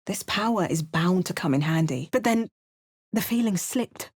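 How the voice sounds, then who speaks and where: A woman voicing a wry, watchful female adventurer for a video game. She makes understated remarks and narrates events calmly, like a storybook. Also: lowish voice, moderate pace, husky, dry recording, clean studio sound. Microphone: close studio mic.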